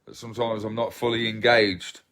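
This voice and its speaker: A man in his thirties from England, hosting a casual livestream from his phone at home, soft-spoken and rambling, often repeating himself as he reads comments. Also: on the low side, a little fast. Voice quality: relaxed voice. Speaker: livestream host. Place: small living room at home, indoors. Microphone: phone held at arm's length.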